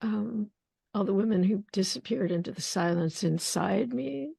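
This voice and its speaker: A woman hosting a poetry conversation over a video call, thoughtful and searching, with many pauses and restarts. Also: mid-range voice, expressive, gravelly, dark tone, slightly rough, no echo. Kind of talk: casual video call discussion.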